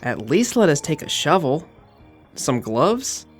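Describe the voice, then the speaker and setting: Young male pledge, uneasy and pleading, lively higher pitch, for horror audio drama. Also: slow, soft background music. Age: young adult.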